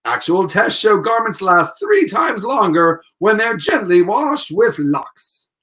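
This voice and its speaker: A man's voice: high voice, very animated, takes it slowly, fairly bright tone, heavily acted. A man playing a courteous, polished 1940s radio announcer who delivers sponsor plugs in a formal, salesmanlike way. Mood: polished, upbeat.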